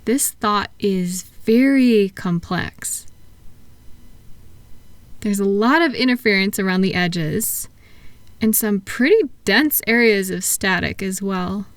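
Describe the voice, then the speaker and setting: A woman playing a kind, patient therapist in a relaxation roleplay audio, speaking softly and gently in an unhurried, reassuring way. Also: medium pitch, dry recording, natural voice. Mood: calm, reassuring. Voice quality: soft-spoken, gentle. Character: kind, patient female thought-calming therapist soothing a client. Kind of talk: soft-spoken roleplay relaxation audio for sleep.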